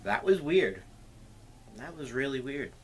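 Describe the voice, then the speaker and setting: A man hosting his own comedic movie review show, retelling a plot with crude, animated energy and swearing. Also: medium pitch, measured pace, slight background noise. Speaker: film reviewer, show host.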